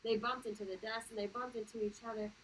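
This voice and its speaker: A woman's voice: a little high, steady tone, quick pace, a bit bright, slight background noise, rough recording. A woman elementary teacher leading a read-aloud reading lesson for children, encouraging and emphatic, with plenty of questions.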